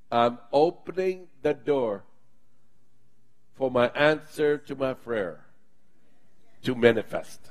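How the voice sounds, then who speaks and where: A man preaching and leading his congregation in prayer, speaking fervently and with emphatic bursts. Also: medium pitch. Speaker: pastor.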